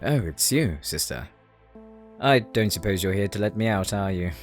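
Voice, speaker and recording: A man's voice: lowish voice, expressive, normal speed, natural voice. A man acting in an audio roleplay as a shrewd, devout prisoner who speaks in a formal, probing manner. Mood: wry, wary. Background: music.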